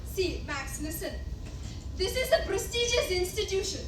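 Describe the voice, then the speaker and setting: Flustered older Indian woman principal acted by a young woman, very high voice, exclamatory stage comedy, distant echoey mic. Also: animated, normal speed, noisy background. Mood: indignant. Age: young adult. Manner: exclamatory, incredulous. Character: flustered, dismissive older female school principal. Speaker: student actor in a college play. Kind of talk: amateur stage comedy acting. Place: live college stage, picked up from afar.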